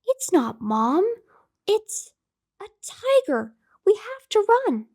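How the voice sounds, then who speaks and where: A woman's voice: very high voice, sing-song, takes it slowly, darkish tone, pitched above the performer's own voice, full character voice. A woman voicing a small, clever, wary child in a bedtime folktale, with an earnest childlike manner. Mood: frightened. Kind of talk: bedtime folktale storybook reading.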